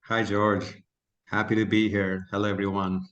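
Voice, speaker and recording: A man from south India whose first language is Malayalam, joining a video call as a guest. His voice sits fairly low, and he talks in an earnest, reflective way with frequent fillers. The sound carries a noticeable roomy echo. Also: flat delivery, normal speed, a bit bright, lo-fi sound. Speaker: guest participant. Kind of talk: casual talk at an online meeting.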